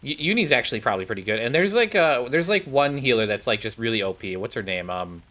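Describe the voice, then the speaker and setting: A man's voice: mid-range voice, big pitch swings, fast, pushed and bright. A man giving casual, off-the-cuff commentary on a game, rambling and thinking aloud as he plays. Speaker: gaming video creator.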